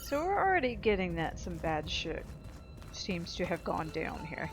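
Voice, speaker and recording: A woman's voice: lowish voice, sing-song, moderate pace, music in the background. A woman giving informal gameplay commentary, thinking aloud as she explores. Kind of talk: casual game commentary.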